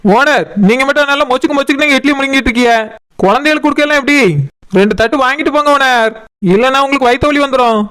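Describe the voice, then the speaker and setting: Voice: high-pitched, rapid-fire, a bit bright. A performer voicing an eager, pushy young food-stall seller in a cartoon comedy, coaxing and nagging in Kanyakumari Tamil. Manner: coaxing, insistent.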